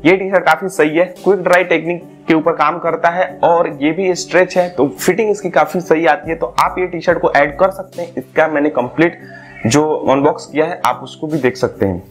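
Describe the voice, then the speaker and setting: A young man with a slightly raised voice talks through gym clothes in a relaxed, persuasive vlog, mixing in English words. He is recorded close on a lapel mic, seated in a small indoor room, with faint music under his voice. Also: on the high side, a little fast. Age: young adult. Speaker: fitness gear reviewer. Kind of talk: casual product review vlog.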